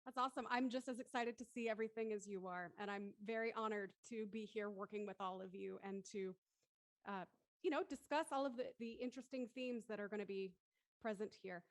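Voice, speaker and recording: A woman's voice: mid-range voice, brisk, dry recording. A woman hosting a live show in an upbeat, casual style. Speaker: host of a live audio drama show.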